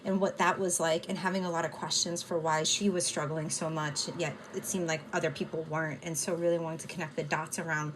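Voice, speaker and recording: A woman in her forties with a Boston accent, talking casually as an interview guest, animated and laughing often, with lots of "like" fillers. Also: low voice, a little fast, few pauses, background noise. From United States (near Boston). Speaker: activist guest.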